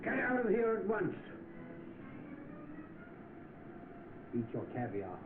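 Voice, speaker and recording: An actor with a British accent playing a scheming, lecherous rich gentleman in an old film, pompous and oily. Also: high-pitched, wildly swooping pitch, fairly quick, exaggerated voice. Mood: outraged. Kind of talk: vintage film acting. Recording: tinny, noisy old film soundtrack.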